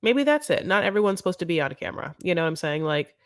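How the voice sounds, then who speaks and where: A woman's voice: fairly low pitch, big pitch swings, quick pace, dry recording, studio quality. A woman hosting a casual live recap chat, rambling and campy with lots of asides. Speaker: show recap host.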